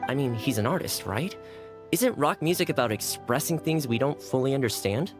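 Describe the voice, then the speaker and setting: A man's voice: mid-range voice, lively intonation, normal speed, lightly acted. A man playing an easygoing, modest, good-natured young man in a video game, thoughtful and a little self-deprecating. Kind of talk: video game cutscene dialogue. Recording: music behind the voice.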